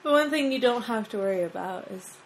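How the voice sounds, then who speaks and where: A woman's voice: a little high, animated, low-quality recording. Woman chatting, reads a bit then giggles, slight hiss in the background. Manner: friendly, quick to giggle. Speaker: podcast co-host. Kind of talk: casual chat and reading aloud.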